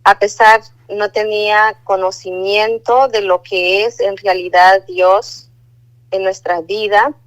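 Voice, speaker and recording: A woman from Guatemala, calling in by phone to share a religious testimony and recite a prayer, measured and devout with hesitant fillers. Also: medium pitch, little pitch movement, poor audio. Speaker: caller giving a religious testimony. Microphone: phone line.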